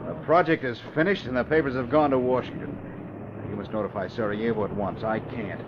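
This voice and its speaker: Mid-pitched actor as a male spy boss, audacious, smug, radio drama, noisy dull vintage recording. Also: fairly even intonation, fairly quick, lightly acted. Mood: urgent, secretive. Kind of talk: old-time radio acting.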